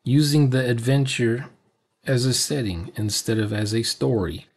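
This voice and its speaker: A man's voice: mid-range voice, normal speed, a little mellow. A man in his sixties giving casual, unscripted reaction commentary in a sarcastic, grumbling way. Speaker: reaction video host. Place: small cluttered room at home. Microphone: desk mic, unfiltered.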